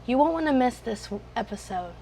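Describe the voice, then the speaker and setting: Woman host in her thirties, higher voice. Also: expressive, brisk, creaky, mellow, a little hiss or hum. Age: 30s. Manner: short encouraging responses. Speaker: podcast host. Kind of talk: scripted intro, then interview reactions.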